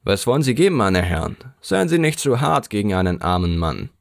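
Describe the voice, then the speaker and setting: Guy doing a whiny poor tradesman haggling, voice pushed up higher than his deep own, dry audiobook. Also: very animated, fairly quick, vocal fry, no echo. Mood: wheedling. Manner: wheedling, complaining. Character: pleading poor man haggling.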